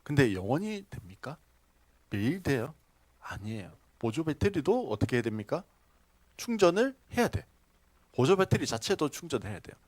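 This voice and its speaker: A man preaching in church, his pitch rising and falling with lively emphasis as he tells homely stories. He tosses questions to the congregation and laughs at his own asides. The sound is close and dry. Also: no echo. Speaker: pastor. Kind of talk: sermon. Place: church sanctuary.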